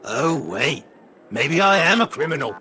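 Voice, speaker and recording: Folksy robot brawler, animated delivery. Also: male voice, on the high side, a little slow, slight background noise, full character voice. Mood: folksy, defensive. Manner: colloquial, plain-spoken.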